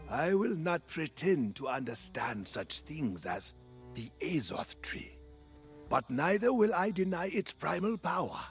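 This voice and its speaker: An actor playing a grave, wise mentor warden in a fantasy game, speaking solemnly with a creaky voice and dramatic swoops. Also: male voice, mid-range voice, heavily acted.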